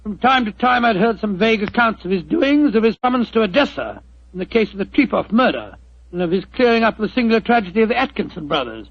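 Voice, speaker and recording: A blustering old doctor. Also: male voice, high-pitched, big pitch swings, fast talker, no room sound, full character voice. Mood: genial. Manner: blustery and stammering, chuckles often. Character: bumbling, genial older English doctor, fussy. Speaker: narrator of the tale within the play. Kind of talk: 1940s radio acting. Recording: dull 1940s radio recording.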